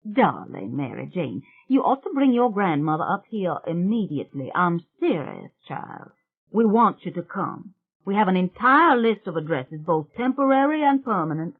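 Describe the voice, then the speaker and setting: A woman's voice: lowish voice, big pitch swings, normal speed, husky, exaggerated voice. A woman voicing a gracious, firm older society lady who speaks in a genteel, commanding way. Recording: tinny phone-like sound.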